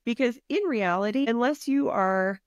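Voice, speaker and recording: A woman's voice: on the high side, normal speed, no room sound, studio quality. A woman health and wellness coach, a podcast guest, talking in an earnest, encouraging, practical way. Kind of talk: podcast interview.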